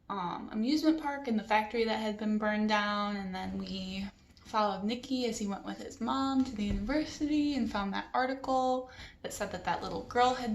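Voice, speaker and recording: A woman hosting a read-along, chatting casually and reading a children's novel aloud expressively. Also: medium pitch. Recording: roomy echo with background noise.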